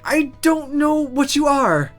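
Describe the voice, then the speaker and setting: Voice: high voice, lively intonation, slow, soft background music, voice pushed up. A performer voicing an impulsive, stubborn schoolgirl witch in a visual novel, with a pushy, whiny, girlish delivery. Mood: flustered.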